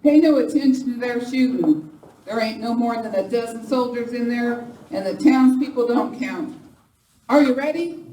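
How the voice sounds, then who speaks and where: A woman's voice: fairly high pitch, normal speed, mellow, close to an everyday voice. Woman acting a bold outlaw boss, echoey and distant. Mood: fierce, rousing. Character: bold female outlaw gang leader, bloodthirsty and commanding. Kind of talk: amateur live western radio play. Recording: echoey hall, distant mic, noisy.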